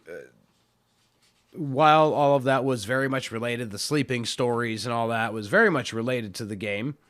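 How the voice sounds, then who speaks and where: Hoarse man rambling on a podcast. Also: medium pitch, fairly bright tone. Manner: rambling, filler-heavy, self-deprecating. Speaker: podcast host. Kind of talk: casual solo podcast talk.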